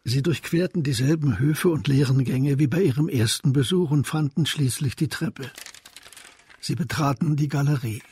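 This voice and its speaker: A man's voice: mid-range voice, normal speed, a little mellow, husky. A man narrating a scripted radio drama as a storyteller.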